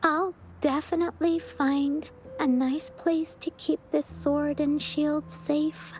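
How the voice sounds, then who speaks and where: A woman voicing a sweet, wistful little girl in a video game, gentle and earnest in manner. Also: high-pitched, little pitch movement, takes it slowly, a little hiss or hum. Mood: tender, grateful. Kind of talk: video game character dialogue.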